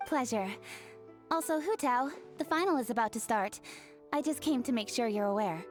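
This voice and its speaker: A woman's voice: fairly high pitch, animated, normal speed, soft background music, natural voice. A woman playing a poised, courteous young noblewoman in an anime-style video game, speaking formally and politely.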